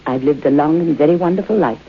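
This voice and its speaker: A woman's voice: sits low, fast talker, husky. An older woman acting an imperious old aristocratic lady in a radio horror drama, speaking formally and commandingly with theatrical delivery. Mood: solemn. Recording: dull, muffled old radio broadcast.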